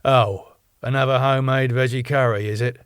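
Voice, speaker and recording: A man's voice: mid-range voice, fairly even intonation, normal speed, clear, resonant tone, no echo, clean studio sound, close to an everyday voice. A man reading the part of a brash, streetwise tour manager in his thirties, chatty and matey. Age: 30s.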